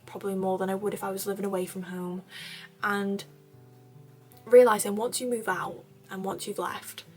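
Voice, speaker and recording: A chatty young woman from North Wales talks through viewer questions in a relaxed, rambling way, full of little fillers. Her voice sits at an everyday middle pitch. Faint guitar music plays quietly behind her. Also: gravelly. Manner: chatty, rambling, many fillers and soft laughs. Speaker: vlogger answering viewer questions. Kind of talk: casual chat. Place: bedroom at her parents' home.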